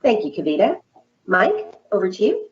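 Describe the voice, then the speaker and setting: A woman's voice: mid-range voice, wildly swooping pitch, dull audio. A woman moderating a virtual panel discussion, introducing speakers and posing prepared questions in an orderly way. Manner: measured, scripted, orderly.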